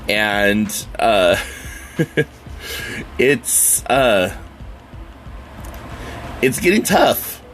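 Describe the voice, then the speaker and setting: Slow-talking, congested male podcast host. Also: fairly low pitch, big pitch swings, a bit bright, faint music behind. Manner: rambling, chatty, with frequent fillers and casual swearing. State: stuffy and nasal from a summer cold. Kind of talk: casual solo podcast chat.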